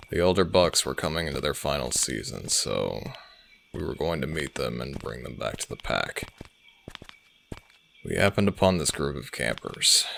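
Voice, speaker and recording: Man acting as a very deep, gravelly old werewolf alpha. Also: a bit bright, slight background noise, full character voice. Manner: slow, brooding, deliberate. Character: world-weary, sardonic old werewolf alpha male, centuries old. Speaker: audio drama voice actor. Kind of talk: dramatic audio roleplay acting.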